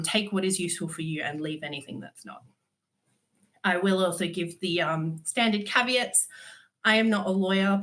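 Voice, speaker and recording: A woman's voice: on the low side, fairly bright tone. A woman, giving a conference talk while stuffy from a cold, candid with dry humour and frequent ums. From Australia. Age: 30s. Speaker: conference speaker.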